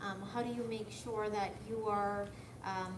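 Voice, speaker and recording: Soft-spoken woman presenter in echoey, noisy room. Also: mid-range voice, fairly even intonation, measured pace. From Canada. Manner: hesitant, conversational, asks rhetorical questions. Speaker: guest speaker, software development manager. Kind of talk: informal lecture presentation. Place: lecture room at a tech school. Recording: echoey room, distant mic, noisy background.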